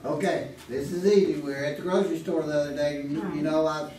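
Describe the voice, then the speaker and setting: Man playing a chatty contestant, raised pitch, distant from the mic. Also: steady tone, fast talker, warm tone, slight background noise, close to an everyday voice. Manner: chatty, rambling. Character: chatty middle-aged male quiz contestant. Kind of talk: live stage radio acting. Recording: roomy theater, far mic.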